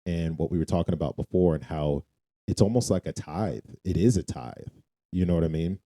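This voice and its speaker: A man's voice: low-pitched, fairly quick, dark tone, dry recording. A man co-hosting a podcast, talking casually about faith and repeating phrases for emphasis. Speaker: podcast co-host.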